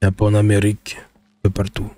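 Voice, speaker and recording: A man voicing a cautious, streetwise buyer who bargains deliberately in casual speech. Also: on the low side, completely flat delivery, warm tone, close to an everyday voice. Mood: wary haggling. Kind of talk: roleplay in character.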